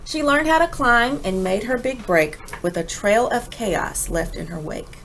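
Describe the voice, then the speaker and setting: An animated woman reads a picture book aloud for children. Her fairly high voice swings widely in pitch and takes its time, stressing words dramatically. Faint noise sits behind her. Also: measured pace. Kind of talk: expressive children's read-aloud with asides.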